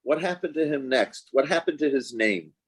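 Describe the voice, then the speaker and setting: A man's voice: medium pitch, expressive, moderate pace, husky. A man acting as interviewer, asking short, probing follow-up questions. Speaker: interviewer, professor.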